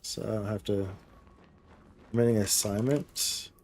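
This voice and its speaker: Casual male game streamer, mellow tone, slangy and repetitive. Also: on the low side, fairly even intonation, unhurried. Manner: slangy, bro-heavy, repeats phrases for emphasis. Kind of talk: casual livestream chat while gaming.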